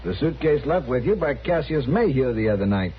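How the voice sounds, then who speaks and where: An actor playing an officious, demanding gentleman with a prim, insistent manner in an old-time radio western. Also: mid-range voice, big pitch swings, a little fast, lightly acted. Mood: officious, impatient. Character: officious, demanding, self-assured brother-in-law, adult man. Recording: muffled, noisy old radio recording.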